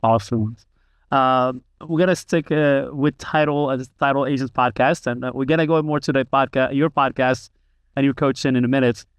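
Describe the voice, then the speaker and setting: A man's voice: medium pitch, fairly even intonation, normal speed, clear, resonant tone, no echo. A man hosting a podcast, asking questions with many hesitant fillers. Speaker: podcast host. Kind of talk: interview questions.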